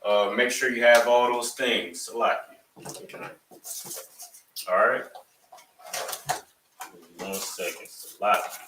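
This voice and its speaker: A man's voice: medium pitch, fairly even intonation, slow pace, long pauses, noisy background. A man opening a class, loose and rambling, leaning on "you know what I'm saying", and rising to emphatic, preachy lines. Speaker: class instructor. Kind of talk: opening remarks to a live class. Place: classroom, also streamed online. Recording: echoey room, mic at a distance.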